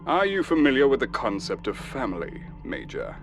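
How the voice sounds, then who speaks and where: A man playing a ruthless human military officer in a science fiction audio drama, sardonic, deliberate and coldly courteous. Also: medium pitch, wildly swooping pitch, music in the background.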